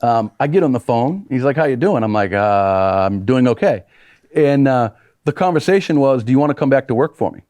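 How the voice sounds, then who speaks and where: A man's voice: mid-range voice, animated, fairly quick, darkish tone, no echo. A man from New Hampshire, a congressional candidate, telling his story in an earnest, rambling way with fillers. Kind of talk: interview storytelling. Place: small TV studio.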